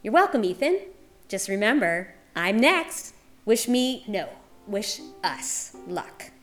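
A woman voicing an eager, feisty female scientist in a radio play, speaking in an emphatic, brisk way. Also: medium pitch, sing-song, faint music behind. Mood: eager, playful.

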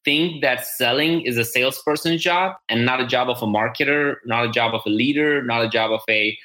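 Here is a man hosting a business podcast in easy, enthusiastic chat, and he often doubles back on his words. His voice sits at a middle pitch with a bright, forward tone. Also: brisk. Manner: enthusiastic, repeats words, casual "dude". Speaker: podcast host.

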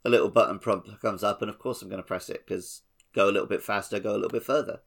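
A man's voice: on the low side, fairly even intonation, fast, creaky, fairly bright tone. A man co-hosting a podcast, chatting casually about a video game. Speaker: podcast co-host; teacher.

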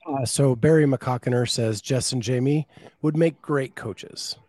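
Brief: male podcast host, mid-pitched, dry close-miked sound, casual chat. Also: measured pace, darkish tone, dry recording. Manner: reads listener comments aloud and expands on them.

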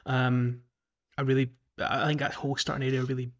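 A man's voice: medium pitch, little pitch movement, a little fast. Man chatting reflectively, slightly dull audio. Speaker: podcast co-host. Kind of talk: casual gaming podcast chat.

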